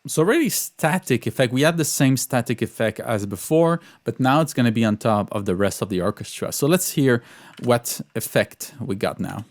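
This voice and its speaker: A man giving an informal music tutorial as a composer, speaking in halting, repeated phrases. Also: mid-range voice, lively intonation. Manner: sings out rhythms in syllables. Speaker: composer teaching orchestration.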